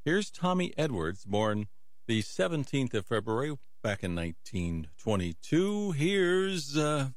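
A man's voice: mid-range voice, sing-song, measured pace, no echo, studio quality. A man hosting a music radio show, talking casually with chatty, musing asides.

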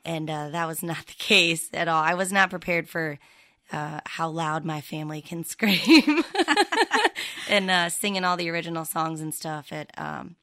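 A woman country singer from the American Midwest, with a lower speaking voice, telling stories chattily with many fillers. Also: unhurried, pushed and bright, no room sound, clean studio sound. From Midwestern United States. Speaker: country singer, interview guest. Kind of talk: conversational interview.

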